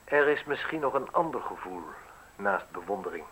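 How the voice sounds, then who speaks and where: An actor playing a self-assured, mocking man in his thirties in a radio drama, speaking deliberately with ironic, theatrical pauses. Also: on the low side, animated, sharp tone, slight background noise, poor audio. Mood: needling. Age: 30s. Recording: husky-sounding radio play recording.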